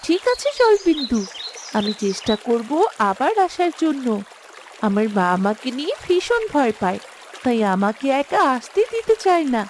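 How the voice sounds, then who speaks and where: A woman voicing a sweet, earnest young girl in a fairy-tale cartoon, expressive and affectionate. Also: high voice, moderate pace, slight background noise. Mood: glad. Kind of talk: cartoon voice acting.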